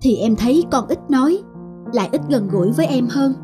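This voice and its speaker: A tender young mother with a worried manner in a cartoon family drama. Music is clearly heard behind. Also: high voice, expressive, a little fast.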